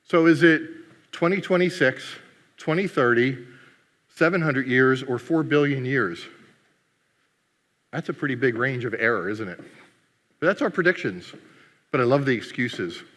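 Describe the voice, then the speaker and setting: A man with a bright, forceful voice gives a playful keynote at a conference and teases the audience as he goes. His first language is Greek. The sound carries a long hall reverb. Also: medium pitch, normal speed, pauses often. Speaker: conference speaker. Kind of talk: humorous keynote talk.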